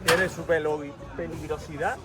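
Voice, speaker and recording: A man's voice: fairly high pitch, level tone, moderate pace, over music. A man from Gran Canaria with a Canarian accent, a scaffolding fitter giving short, laid-back replies outdoors. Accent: Canarian Spanish. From Gran Canaria, Spain. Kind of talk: casual chat. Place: outdoors on scaffolding high above a city street.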